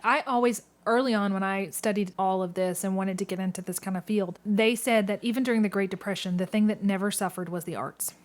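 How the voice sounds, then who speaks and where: A woman hosting a casual interview, talking in a chatty, conversational way and quick to laugh. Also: mid-range voice, fairly even intonation. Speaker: podcast host interviewing a community theatre actor.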